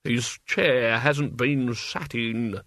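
A man's voice: medium pitch, sing-song, a little slow, a bit bright, no echo, clean studio sound, exaggerated voice. A man voicing an anxious, whining old male servant with rustic turns of phrase in an audiobook story. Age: older.

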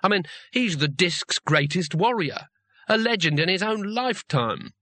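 A man performs a flustered young wizard babbling in a raised, stumbling voice. The tone is bright and tense, recorded dry. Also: high voice, big pitch swings, moderate pace, no room sound, voice pushed up, full character voice.

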